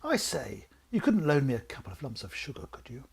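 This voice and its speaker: A man voicing a polite, hapless little gentleman mouse in a children's storybook, chatty and mild-mannered, with a husky voice. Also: medium pitch, huge pitch swings, fairly quick, full character voice. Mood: polite, hesitant.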